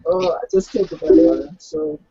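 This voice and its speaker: A tabletop roleplaying player talking casually about the game in a hesitant, halting way. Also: mid-range voice, very animated, vocal fry, dark tone, slight background noise. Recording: dull, close lo-fi recording.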